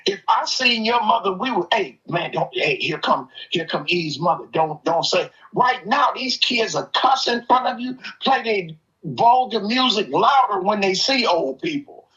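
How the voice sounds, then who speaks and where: A man's voice: high voice, lively intonation, moderate pace, pushed and bright. An older man in casual, opinionated conversation, telling stories in an emphatic, streetwise way. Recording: dull, lo-fi audio.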